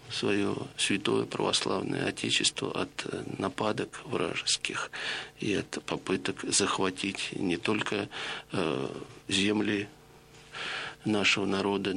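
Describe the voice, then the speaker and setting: A middle-aged man giving a reflective spoken lecture to listeners, measured and explanatory, pausing often on hesitations. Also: deep voice, gravelly, pushed and bright, a little hiss or hum. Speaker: lecturer on radio.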